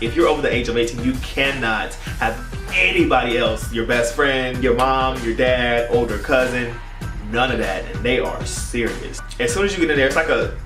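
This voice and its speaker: The recording is echoey, with music behind the voice. A young man speaks at a middling pitch. He tells his story casually and dramatically, with playful, slangy turns of phrase, as a vlogger would. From United States. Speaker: YouTube vlogger. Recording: roomy echo, distant mic, music behind.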